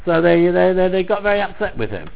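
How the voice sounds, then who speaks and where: A man's voice: high-pitched, big pitch swings, fast talker, creaky, narrow, tinny audio. A man teaching a religious text line by line, reading and translating, animatedly explaining, repeating phrases and stumbling over words. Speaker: Talmud teacher.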